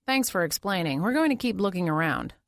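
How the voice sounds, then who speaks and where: A woman's voice: on the low side, lively intonation, brisk, dry recording. Determined male detective, played by a female narrator, businesslike and insistent, dry audiobook recording. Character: determined, suspicious male police investigator. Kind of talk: audiobook character dialogue.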